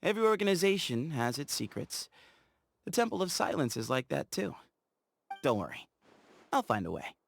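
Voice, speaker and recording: An actor voicing an easygoing young desert scout in a game, talking in an upbeat, playful way. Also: male voice, on the high side, sing-song, normal speed, vocal fry, pauses often, a little hiss or hum. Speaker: game character. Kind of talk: game voice lines.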